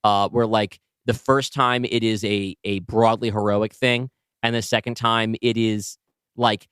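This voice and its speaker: Lowish guy on a podcast, casual, kinda halting while he figures stuff out, dry close mic. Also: steady tone, a bit bright, clear, resonant tone, no echo. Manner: tentative, halting, feeling out ideas. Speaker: podcast co-host and writer. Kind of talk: casual brainstorming chat.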